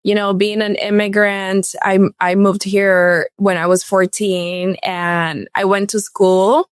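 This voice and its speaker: A woman's voice: medium pitch, level tone, takes it slowly, clean tone, dry recording, studio quality. A woman in her thirties from Cuba, a podcast guest telling her own story in a candid, casual way. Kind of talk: personal storytelling.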